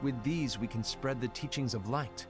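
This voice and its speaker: A man's voice: medium pitch, moderate pace, close to an everyday voice. An actor playing a serious, thorough young male apprentice in a game cutscene. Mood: pleading. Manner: earnest, serious, questioning. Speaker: game character. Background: game music.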